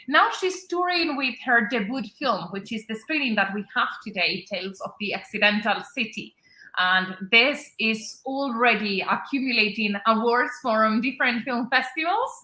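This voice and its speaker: A woman's voice: medium pitch, sharp tone. A woman from southern Spain with a Spanish accent, formally introducing a guest as the chair of an academic event. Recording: roomy, echoing sound.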